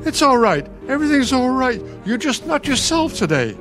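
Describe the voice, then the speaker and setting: An actor in a radio play performing a weary, patient older husband, placating and pained. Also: male voice, very high-pitched, sing-song, normal speed, music in the background. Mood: pleading. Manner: placating, pained, long-suffering.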